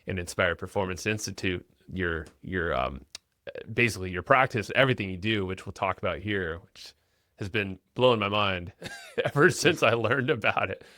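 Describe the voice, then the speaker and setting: A man's voice: sits low, moderate pace, sharp tone, no room sound, studio quality. A man hosting a podcast interview, upbeat and chatty, often repeating words as he starts sentences. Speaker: podcast host. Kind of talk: casual podcast interview with ad read.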